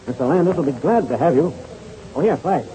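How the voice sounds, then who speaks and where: An actor playing an earnest, excitable American adventurer in a 1930s radio serial, on a noisy old recording. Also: male voice, on the high side, fairly quick, natural voice. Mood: urgent, courteous.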